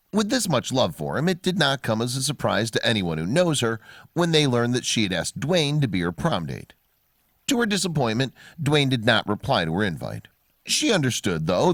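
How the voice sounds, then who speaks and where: A man reading scripted narration of a human-interest story in a measured storytelling manner. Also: mid-range voice, a bit bright, dry recording, studio quality.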